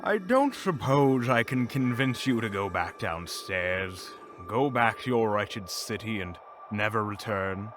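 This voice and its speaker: A man's voice: lowish voice, lively intonation, a little slow, music in the background. A man playing a condescending, theatrical villainous mage in a fantasy audio drama. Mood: dry disdain. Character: haughty villainous mage in his tower.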